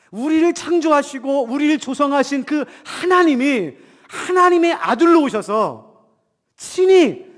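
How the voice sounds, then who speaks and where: A male pastor preaches in a big reverberant church. His voice sits high for a man and swings widely from emphatic declarations down to hushed asides. He has a cold and speaks in rhetorical questions to his congregation. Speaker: pastor preaching a Sunday sermon. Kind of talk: Protestant church sermon. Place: church hall during a service. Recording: long hall reverb in church.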